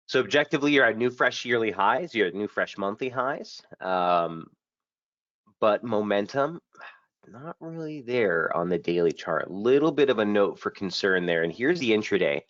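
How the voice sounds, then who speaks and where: Slightly hoarse man hosting a trading webinar. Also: fairly low pitch, animated, fairly bright tone, no room sound. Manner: brisk, casual trader talk with frequent fillers. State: slightly hoarse, under the weather. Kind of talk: live market analysis webinar. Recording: dull, dry lo-fi stream audio.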